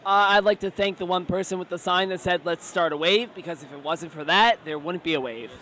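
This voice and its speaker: A man guest in casual chat, his voice raspy and hoarse from cheering, excitable and playful. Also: high-pitched, fairly quick, a little hiss or hum.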